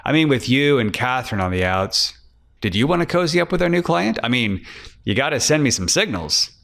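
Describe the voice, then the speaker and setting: A man voicing a slick, greedy, flirtatious private detective in a crime audiobook, smooth-talking and cocky. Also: medium pitch, very animated, fairly quick, a bit bright, pitched above the performer's own voice. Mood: excited, teasing.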